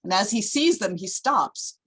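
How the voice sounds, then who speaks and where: A woman's voice: medium pitch, lively intonation, unhurried, fairly bright tone, husky. A woman narrator reading screenplay stage directions in a scripted table read.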